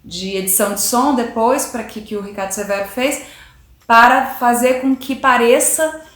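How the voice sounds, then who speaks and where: A woman's voice: on the high side, normal speed, fairly bright tone, roomy sound. A woman actress talking enthusiastically and thoughtfully about playing her role, with frequent restarts. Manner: enthusiastic, reflective, hesitant restarts. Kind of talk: interview talk.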